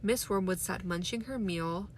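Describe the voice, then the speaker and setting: A woman's voice: medium pitch, normal speed, slight background noise. A woman reading a children's story aloud as scripted narration. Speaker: volunteer reader.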